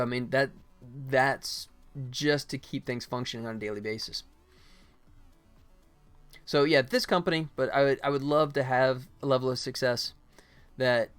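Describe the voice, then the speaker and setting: A man with a mid-pitched voice talks casually on a livestream as he works. He is chatty and rambling, often thinking out loud, with music and some typing behind him. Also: clear, resonant tone. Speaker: livestream host and solo indie game developer. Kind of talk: casual livestream chat while working.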